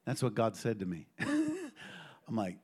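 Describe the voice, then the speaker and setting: A middle-aged man preaching to a congregation in a church, telling a personal story with lively call-and-response. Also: medium pitch, slow pace, breathy edge. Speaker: pastor.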